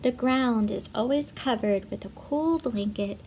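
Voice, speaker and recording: A woman reading a children's story aloud in an expressive, measured way, with asides to young listeners. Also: a little high, a little hiss or hum. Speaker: storybook reader for schoolchildren.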